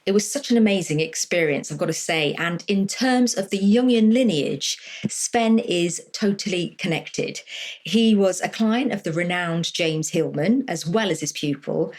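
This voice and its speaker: A woman's voice: fairly low pitch, expressive, a little slow, a little mellow. Eager woman podcast host. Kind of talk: scripted podcast intro.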